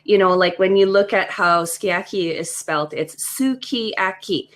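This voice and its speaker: A woman's voice: medium pitch, normal speed, fairly bright tone. A woman in her thirties from Western Canada, chatting enthusiastically with many fillers on a video call. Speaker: co-host. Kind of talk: casual video-call chat.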